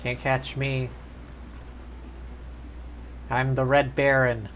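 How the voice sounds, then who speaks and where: A man's voice: mid-range voice, flat delivery, very slow, a bit bright, dull audio, slight background noise. A man chatting casually as he gives live commentary on a video game stream. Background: game music.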